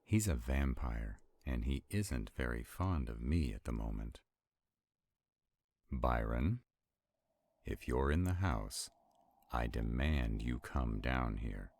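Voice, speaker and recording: A man playing an arrogant, stubborn vampire in a roleplay audio drama, with a deep, gravelly, husky voice and a haughty, deliberate delivery. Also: animated, a little mellow, no echo, heavily acted. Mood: commanding, defiant. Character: arrogant, stubborn male vampire, irritated and skeptical.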